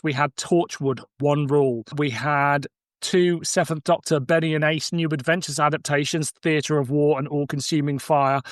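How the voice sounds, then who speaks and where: A man's voice: on the high side, no room sound. A man hosting a podcast, moving between casual chat and read-out summaries. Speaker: podcast host.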